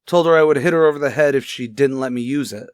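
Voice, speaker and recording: A man's voice: mid-range voice, fast, no room sound, studio quality, lightly acted. A man playing a cocky, defensive salesman under arrest in a radio crime drama, wisecracking and sneering. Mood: defensive, agitated. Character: cocky, defensive thirty-one-year-old clothing salesman under arrest. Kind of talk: old-time radio crime drama acting.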